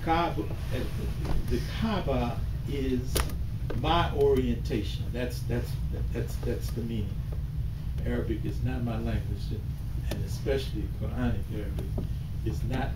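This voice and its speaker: An older man giving a sermon in a small mosque, slow and deliberate, rising to emphatic calls. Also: mid-range voice. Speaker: imam. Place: small mosque hall with bookshelves, indoors. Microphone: far camera at the back of the room. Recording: echoey room from far off with hiss.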